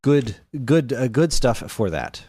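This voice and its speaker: A man's voice: mid-range voice, big pitch swings, measured pace, dark tone, husky, no echo. A man co-hosting a podcast, chatting casually and telling stories in a conversational way. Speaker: podcast co-host. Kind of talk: casual chat about video games.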